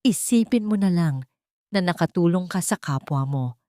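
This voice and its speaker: A callous husband in an audio drama, played by a woman narrator. He is selfish and dismissive, speaking coldly in a lowish voice, recorded dry. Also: animated, moderate pace, dark tone, no echo, close to an everyday voice.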